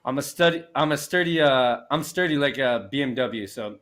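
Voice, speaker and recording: Bright-voiced rambling Canadian man. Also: medium pitch, lively intonation, moderate pace. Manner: rambling, restarts often, laughs, swears casually. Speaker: sports show host. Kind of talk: casual sports chat with a co-host.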